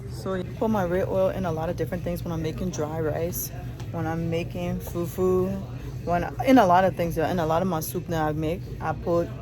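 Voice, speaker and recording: A woman's voice: lowish voice, flat delivery, a little fast, a crowd in the background. A woman from Liberia chatting casually to her viewers while shopping in a store, often calling them "y'all". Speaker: family vlogger. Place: inside a large store. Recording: noisy store background.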